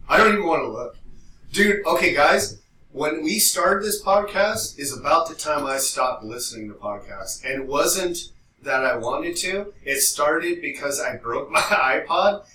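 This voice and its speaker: Slangy podcast cohost guy, kinda high voice, sounds away from the mic in a roomy space. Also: lively intonation, measured pace, bright, forceful tone, slight background noise. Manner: informal, slangy, mildly profane. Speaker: podcast co-host. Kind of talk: casual chat. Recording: echoey room, distant from mic.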